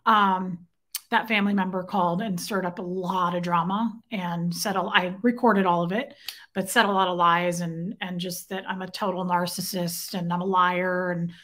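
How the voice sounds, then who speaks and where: Brief: woman, 40s, bright and forceful tone, a bit scratchy, chatty livestream host at a desk webcam in her living room. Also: on the low side, steady tone, moderate pace. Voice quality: slightly scratchy, strained throat. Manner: chatty, distracted, reads out viewer names. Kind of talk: casual livestream chat.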